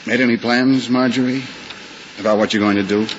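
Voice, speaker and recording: An actor playing a cheerful, joking young lawyer in a 1950s radio drama, breezy and reassuring. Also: male voice, mid-range voice, steady tone, a little fast, natural voice. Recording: muffled hissy old radio broadcast.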